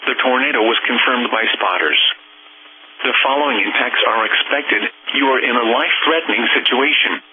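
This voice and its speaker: A synthetic man's voice reading automated emergency weather alerts in a formal, measured, impersonal way. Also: medium pitch. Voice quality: synthetic text-to-speech voice. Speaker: weather radio announcer. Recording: tinny noisy weather radio broadcast.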